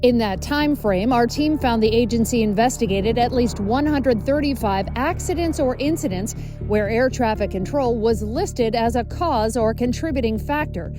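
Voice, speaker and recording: A woman with a fairly high voice reads a scripted investigative news report. She speaks as a TV reporter, and music plays clearly behind her narration. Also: moderate pace.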